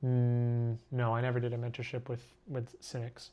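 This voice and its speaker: This is a young man from Korea talking easily to his stream audience as he sketches. His voice sits at an ordinary middle pitch, and his chatter is loose and self-deprecating, with frequent laughs. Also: completely flat delivery, normal speed, warm tone, muffled recording. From born in Korea, raised abroad. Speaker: artist live-streaming. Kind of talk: casual live-stream chat reading comments.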